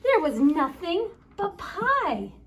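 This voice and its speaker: A woman reading a picture book aloud to children in an expressive, playful storytelling way, with chatty asides. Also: very high voice, slow pace. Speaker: story time reader.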